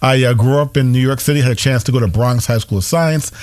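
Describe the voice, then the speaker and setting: A man's voice: medium pitch, quick pace, a little mellow. A man from Jamaica, a guest on an interview show, telling his story in an easy, conversational way with light laughs. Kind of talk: casual interview.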